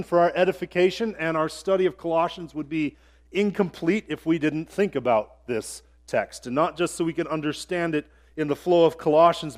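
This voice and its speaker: High-voiced man preaching a sermon. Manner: conversational, with small asides and jokes. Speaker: pastor.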